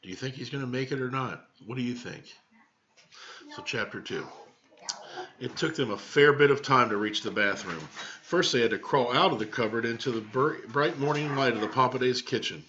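Male American teacher, storybook read-aloud, forceful tone, casual asides, lo-fi webcam sound. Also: mid-range voice, moderate pace, slight background noise. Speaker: teacher reading aloud to children. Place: small home room, indoors. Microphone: webcam at desk distance. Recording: dull lo-fi webcam audio.

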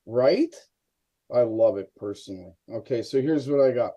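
A man's voice: mid-range voice, expressive, normal speed, mellow. A middle-aged man giving a casual live demonstration on a video call as a hobby experimenter. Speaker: hobby experimenter, guest presenter. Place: indoor room, video call.

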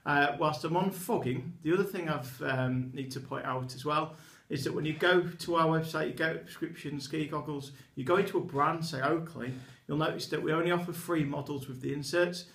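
A chatty, enthusiastic man in his thirties gives a product walkthrough at a desk, his voice sitting a little high for a man. The small room around him adds a clear echo. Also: brisk, few pauses, fairly bright tone. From England. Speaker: eyewear retailer presenting products. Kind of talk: product demonstration to camera. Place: small indoor office with a poster behind a desk. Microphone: camera in front at desk distance.

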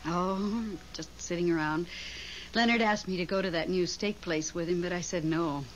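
An actress playing a nervous woman confiding over the telephone in a 1970s radio drama, anxious and halting. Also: on the low side, steady tone, close to an everyday voice. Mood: wistful, casual. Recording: dull, noisy 1970s radio broadcast recording.

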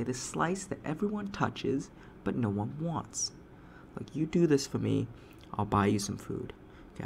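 A man plays a cruel, self-satisfied male landlord in a satirical audio roleplay. His middle-pitched voice is full of fry as he talks down to a tenant with taunts and mocking interjections. A little background noise sits under the recording. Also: darkish tone. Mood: bargaining, scornful. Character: smug, cruel landlord sneering at a struggling tenant.

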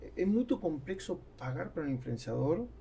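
A man with an Argentine accent and native Spanish talks in a mellow voice as a podcast guest. He searches for words and mixes Spanish into his Portuguese. The mic is close, with faint noise behind it. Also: a little high, very animated, normal speed, gravelly. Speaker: guest entrepreneur. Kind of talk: casual podcast interview talk. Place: small padded podcast studio. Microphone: studio microphone on boom arm.

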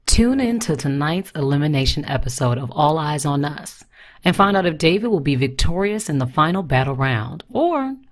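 A woman voice actor reading scripted television promo narration. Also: sits low. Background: faint music.

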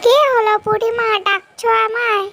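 A woman's voice: very high-pitched, flat delivery, normal speed, fairly bright tone. A woman voicing a small, devoted pet fox in a fairy-tale cartoon, earnest and childlike. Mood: eager, caring.

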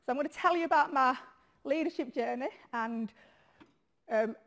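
A woman's voice: sits high, normal speed. Leeds woman giving a frank keynote. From Leeds, England. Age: middle-aged. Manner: frank, self-deprecating, lively storytelling. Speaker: charity chief executive, conference speaker. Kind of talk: conference keynote talk. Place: large conference hall, on stage at a lectern.

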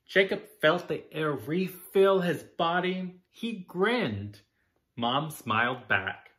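A man reads a picture book aloud with drag storytime drama. His deliberate delivery is slow and highly animated in pitch, with a bright tone. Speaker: drag queen storytime reader. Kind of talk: expressive children's picture book narration. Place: small furnished room indoors.

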